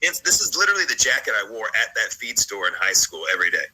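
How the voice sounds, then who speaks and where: A man's voice: on the low side, big pitch swings, quick pace, sharp tone, husky, low-quality recording. An American man in his late thirties chatting casually in a rambling, jokey, slangy way as he hosts. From United States, partly South Carolina and Virginia. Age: late 30s. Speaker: host of a vlog. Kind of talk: casual reaction chat.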